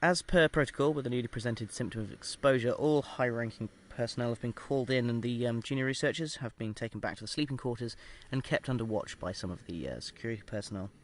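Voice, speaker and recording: An actor playing a measured research scientist who dictates an experiment log in a formal, clinical manner, with hesitant pauses, in an audio drama. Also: male voice, medium pitch, slight background noise, close to an everyday voice. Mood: formal, concerned.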